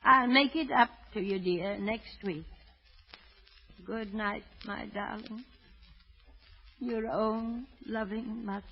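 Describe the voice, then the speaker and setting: An older actress playing a poor, anxious, doting old woman who sells apples, with halting, fretful delivery in a 1930s radio drama. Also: on the high side, steady tone. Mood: tender, muttering. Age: 60s or older. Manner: halting, fretful, muttering to herself. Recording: dull vintage radio recording.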